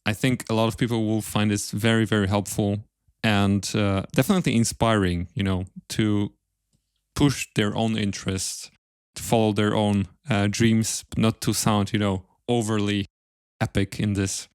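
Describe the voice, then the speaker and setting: Guy podcast host, lowish voice, polite n measured, super dry close recording. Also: level tone, clear, resonant tone, no echo. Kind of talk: interview, then podcast sign-off.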